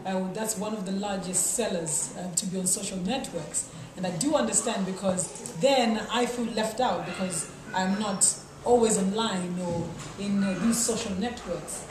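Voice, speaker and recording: A woman's voice: medium pitch, moderate pace, few pauses. A woman on a writers' panel, speaking hesitantly and thoughtfully with many "um"s. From Zimbabwe. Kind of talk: panel discussion. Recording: very echoey room, noisy.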